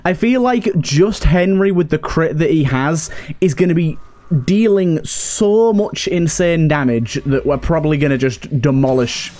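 Higher-voiced man doing casual game commentary. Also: unhurried, a little mellow. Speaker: gaming host. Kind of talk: casual let's play commentary.

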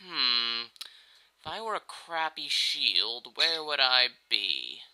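Someone voicing a bright, whiny boy hero. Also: mid-range voice, very animated, heavily acted, low-quality recording. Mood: flustered. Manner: sarcastic, halting, casual. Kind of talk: amateur parody dub of a video game.

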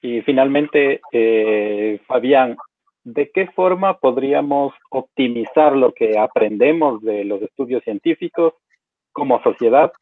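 A man's voice: medium pitch, measured pace, no room sound. A man speaks as a formal panel moderator. His voice comes through a thin, phone-like video-call connection with very little room sound.

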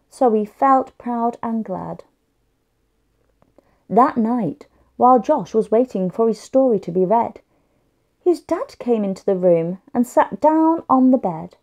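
A woman's voice: fairly high pitch, mellow. A woman reading a picture book aloud expressively and carefully for young children. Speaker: children's story reader.

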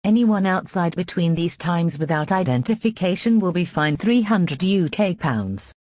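Low-pitched synthetic female emergency announcer. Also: expressive, darkish tone, no echo. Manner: measured, impersonal, synthetic delivery. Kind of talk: scripted emergency broadcast reading.

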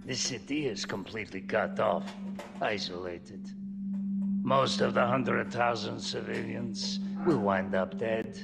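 An actor playing a weary older male mercenary platoon leader, speaking gravely. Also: lowish voice, over loud music. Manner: slow, grave and measured. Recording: game cutscene with loud score and long reverb.

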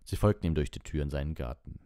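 A man's voice: a bass voice, quick pace, dark tone, clean tone, no room sound, clean studio sound. A man reading a story aloud as scripted audiobook narration. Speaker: audiobook reader.

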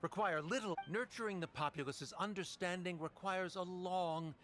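An actor playing a condescending, verbose academic lecturing an opponent in a video game debate. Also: male voice, high-pitched, normal speed, music in the background, natural voice. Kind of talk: video game dialogue acting.

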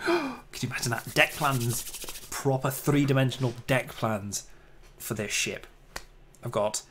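A man's voice: mid-range voice, fairly bright tone, slight background noise. A man chatting casually while livestreaming his 3D modeling work, self-deprecating and prone to little exclamations.

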